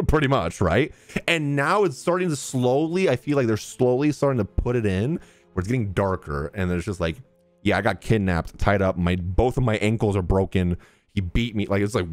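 A young man reacts casually to a game on stream. His mid-pitched voice is animated and jokey, recorded dry with faint music behind. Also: dry recording. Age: young adult. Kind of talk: casual live reaction commentary. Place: small indoor streaming room.

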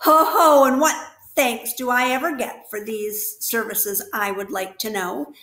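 Sarcastic self-pitying rat, read by a woman, loud and mocking, echoey room. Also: a little high, fairly even intonation, measured pace. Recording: roomy echo.

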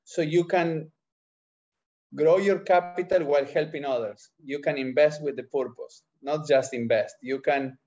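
A middle-aged man with an Argentine accent and Spanish as his first language, answering interview questions haltingly as he searches for words. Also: a little high, fairly even intonation, darkish tone. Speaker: entrepreneur, podcast guest. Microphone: video call microphone. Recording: dull, lo-fi video call.

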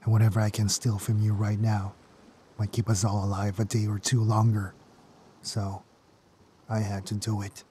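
An actor playing a desperate, remorseful scavenger man with halting speech, in an audio drama. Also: on the low side, flat delivery, mellow, slight background noise, close to an everyday voice. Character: guilt-ridden, desperate scavenger man who robs to survive.

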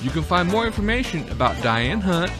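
A man reading scripted podcast credits as an announcer, in a measured, careful manner. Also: high-pitched, sing-song. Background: guitar music.

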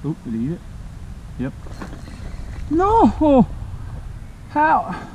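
A man's voice: extremely high pitch, huge pitch swings, drawn-out words, a little mellow, muffled recording. A man giving casual commentary while fishing outdoors by a creek, talking to himself and to the fish, recorded on a head-mounted action camera. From Texas. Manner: talks to himself and the fish, frustrated exclamations. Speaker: fishing vlogger. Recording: outdoor camera mic with clear background noise.

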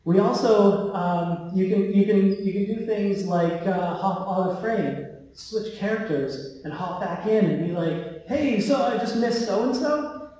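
Man, higher-pitched voice, informal stage talk, casual halting delivery, distant from the mic, long hall reverb. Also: mellow. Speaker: tech company co-founder giving a talk. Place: large theatre stage, indoors. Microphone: handheld microphone, recorded by a far camera. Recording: very echoey hall, heard from far off.

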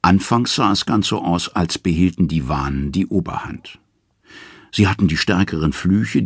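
A man narrating mythological tales from a script in a measured, dramatic storytelling manner. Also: deep voice, gravelly, slightly rough, no room sound, clean studio sound.

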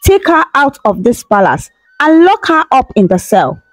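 A woman's voice: high-pitched, sing-song, normal speed, no echo, soft background music, pitched above the performer's own voice. A woman voicing an authoritative king who issues orders in a storybook tale. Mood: commanding. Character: angry commanding king.

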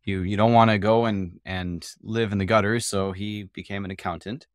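A man hosting a podcast in a casual interview style, halting and now and then stuttering. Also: lowish voice, steady tone, no room sound, studio quality. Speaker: podcast host.

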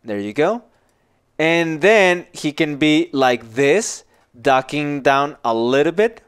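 A man in his forties giving an informal software tutorial, explaining casually step by step into a headset microphone in a small office. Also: on the high side, lively intonation, takes it slowly, clean tone. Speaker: animation tutorial instructor.

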